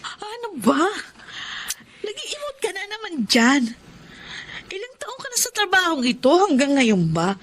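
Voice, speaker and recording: An actress playing a frank, gossipy friend and co-worker in a radio drama, blunt and chiding. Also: female voice, very high-pitched, huge pitch swings, a little slow, long pauses, heavily acted. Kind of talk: Tagalog radio drama acting.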